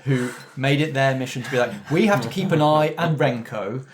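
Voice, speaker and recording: A man hosting a casual post-game discussion as game master, retelling things in an animated way and putting questions to the players. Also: medium pitch, moderate pace, slight background noise.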